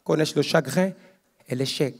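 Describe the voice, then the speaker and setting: A man performing slam poetry on stage, declaiming aphorisms in a solemn, measured way that sinks low at the ends of phrases. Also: slightly rough. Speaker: slam poet. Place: live competition stage.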